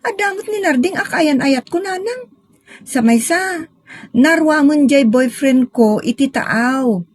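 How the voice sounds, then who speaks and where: A woman acting an eager, outspoken young village woman in a radio drama, expressive and bantering, with a Filipino accent. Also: sits high, takes it slowly, no room sound, studio quality. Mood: excited.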